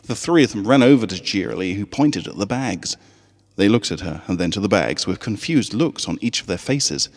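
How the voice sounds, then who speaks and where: A man narrating a scripted story in an expressive, dramatic way. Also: lowish voice, moderate pace, long reverb tail. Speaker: fan fiction reader.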